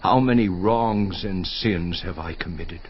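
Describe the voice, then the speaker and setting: An actor playing an anguished righteous man protesting his innocence, in a declamatory, impassioned dramatized scripture monologue that drops to hushed intensity. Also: sits low, measured pace, breathy edge, dry recording, dull audio. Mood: pleading, resolute.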